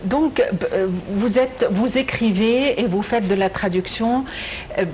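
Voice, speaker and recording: A woman hosts a television interview and puts her questions in a measured, formal tone. Her voice comes through slightly muffled, with a faint hiss in the background. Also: on the high side. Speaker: TV host.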